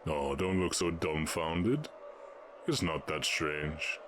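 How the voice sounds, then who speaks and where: A man voicing a stern, imposing orc warchief in a fantasy audio roleplay, speaking in deliberate, imperious proclamations. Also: very deep voice, expressive, a bit bright, a little hiss or hum, full character voice. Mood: calm, persuasive.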